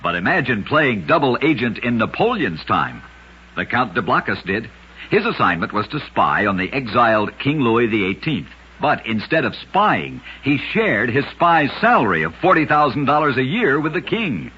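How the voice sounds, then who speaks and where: Male radio narrator, very husky, lively pitch, dramatic storyteller on a lo-fi vintage recording. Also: normal speed. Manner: dramatic, emphatic storytelling delivery. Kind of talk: scripted narration of short oddity stories for radio.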